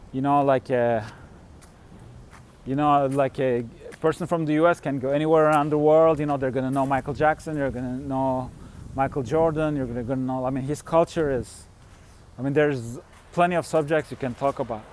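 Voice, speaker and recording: A man talks in a loose, casual way, filling his sentences with little fillers as he pauses to find words. His voice sits a little on the high side. A faint hum of noise runs underneath. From Turkey. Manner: halting, full of "you know" and "like" fillers. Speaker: touring musician and vlogger. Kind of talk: casual chat.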